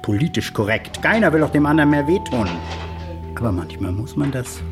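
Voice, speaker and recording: Smug, condescending populist politician. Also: male voice, medium pitch, sing-song, music in the background. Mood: self-righteous. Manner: measured, rhetorical, provocative.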